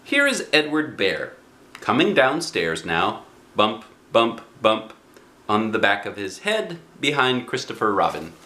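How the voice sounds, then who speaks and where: A man reading a children's book aloud in an expressive way, speaking directly to young listeners. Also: on the low side, unhurried, roomy sound. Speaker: storybook reader.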